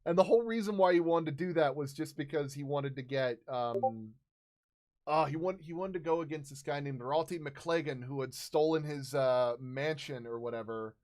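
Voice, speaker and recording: A man in his thirties casually recounting tabletop game stories as a host, halting and searching for words. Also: on the high side, darkish tone. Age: 30s.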